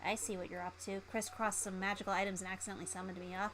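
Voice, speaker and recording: A woman's voice: mid-range voice, little pitch movement, racing, fairly bright tone, soft background music, voice pushed down, lightly acted. A woman voicing a friendly, eager, rambling monster in a visual novel game. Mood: chatty, reminiscing. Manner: rambling, amiable, easily impressed. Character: chatty, friendly, eager spork monster named Steve.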